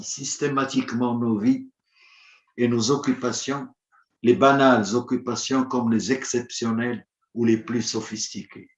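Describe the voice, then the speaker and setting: A man whose first language is Arabic, giving a formal prepared conference address in a measured, rhetorical manner, with long listed points. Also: medium pitch. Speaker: conference speaker. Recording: roomy, dull lo-fi audio.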